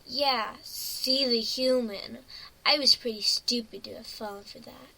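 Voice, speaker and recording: A woman voicing an emotional, excitable young female pony in a dramatic reading of a fan fiction story. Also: on the high side, measured pace, creaky, full character voice, poor audio. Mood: dejected, questioning.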